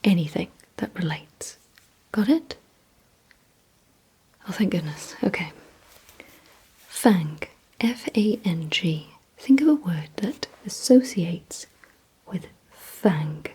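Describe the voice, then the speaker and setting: A woman whispering breathily in an ASMR roleplay, giving instructions deliberately and repeating words with pauses, close to the microphone. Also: lowish voice, lively intonation, mellow, rough recording. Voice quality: breathy whisper, mostly unvoiced. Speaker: ASMR creator leading a word-guessing game.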